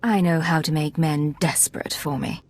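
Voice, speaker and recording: A woman's voice: sits low, fairly even intonation, moderate pace, husky. A woman voicing a cool, self-assured secret agent in a video game, terse and controlled. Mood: cool, determined.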